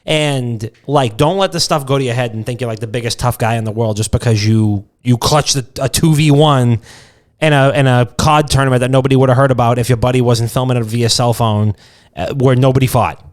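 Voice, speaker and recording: A man's voice: medium pitch, a little fast. A man co-hosting a podcast in casual, opinionated chat, blunt and emphatic with lots of cursing. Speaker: podcast co-host.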